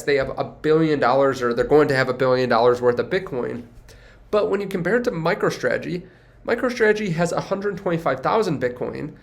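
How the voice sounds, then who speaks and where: A man's voice: fairly high pitch, creaky. A young man with a congested, stuffy voice, talking casually to camera as a finance video host, rambling and correcting himself as he goes. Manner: rambling, self-correcting, upbeat. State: congested from a cold.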